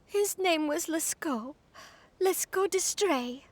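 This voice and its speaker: A woman's voice: extremely high pitch, animated, slow pace, no echo, full character voice. A woman playing a concerned, informative young woman in a video game, polite and earnest as she explains things. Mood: serious, informative.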